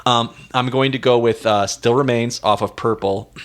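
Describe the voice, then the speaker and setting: A man's voice: mid-range voice, steady tone, normal speed, a bit bright. A man co-hosting a casual music discussion, talking in a hesitant, self-deprecating, indecisive way. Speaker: music podcast co-host.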